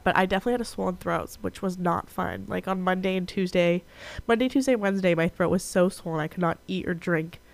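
Young woman doing a college radio show by herself, stuffy nose, nasally, bit raspy, super chatty, dry close sound. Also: medium pitch, no room sound. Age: young adult. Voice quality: a little raspy. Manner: chatty, frequent "like" and "um", restarts. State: congested and nasal from illness. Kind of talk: casual solo radio chat.

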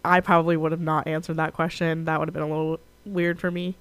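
A woman's voice: sits low, flat delivery, quick pace, no room sound. Chatty young woman, congested, nasal. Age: young adult. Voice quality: a little raspy. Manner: chatty, frequent "like" and "um", restarts. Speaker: college radio host. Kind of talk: casual solo radio chat.

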